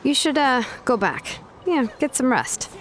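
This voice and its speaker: A woman's voice: sits high, expressive, a little slow, a little hiss or hum. An actress voicing a laid-back, practical young woman in an anime video game, explaining things casually with small hesitations. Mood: concerned, casual.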